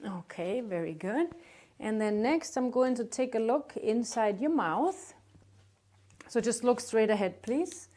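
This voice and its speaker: A woman conducting a medical exam, giving calm, polite step-by-step instructions with short acknowledgements. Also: fairly high pitch, measured pace.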